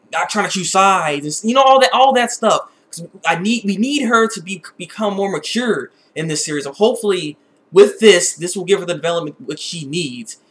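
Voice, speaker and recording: A man giving a casual, opinionated episode review in an excitable, rambling way that often repeats words. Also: sits high.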